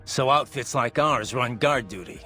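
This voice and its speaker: A male actor playing a calm military support operative in a video game, giving information plainly and in a businesslike way. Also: medium pitch, normal speed, vocal fry, faint music behind.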